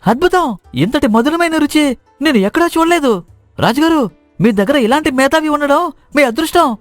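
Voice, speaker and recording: Man playing an effusive, extremely high-voiced courtier. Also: animated, moderate pace, no room sound, soft background music. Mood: amazed. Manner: effusive, formal. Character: admiring, flowery-spoken royal taster at court. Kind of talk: acted moral story dialogue.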